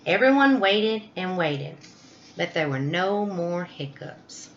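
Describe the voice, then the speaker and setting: Female children's story narrator: slow, very animated, bright delivery on slightly muffled audio. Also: lowish voice, a little hiss or hum. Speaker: storybook reader.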